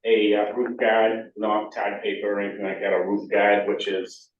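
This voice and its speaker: A man's voice: lowish voice, flat delivery, moderate pace. A plain-spoken man at a town board meeting, explaining roofing details. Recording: dull, echoey hall, distant mic.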